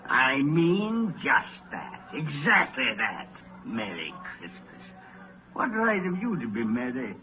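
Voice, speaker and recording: A man's voice: medium pitch, wildly swooping pitch, slow, faint music behind. An actor playing a grim, miserly old businessman in 1930s radio drama, scornful and irascible, barking and muttering theatrically. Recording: scratchy, narrow-band 1930s radio broadcast.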